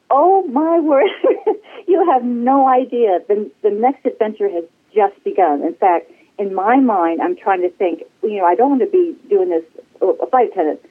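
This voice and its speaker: A woman in her sixties from the United States, a guest talking in a bubbly, casual way and laughing often. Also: medium pitch. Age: 60s. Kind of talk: casual interview. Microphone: phone call. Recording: tinny phone line.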